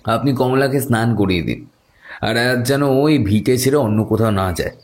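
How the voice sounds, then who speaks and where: A man's voice: medium pitch, normal speed, darkish tone, pitched above the performer's own voice, lightly acted. A man playing a commanding tantric priest who gives urgent orders in a dramatic audio story. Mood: firm, instructing.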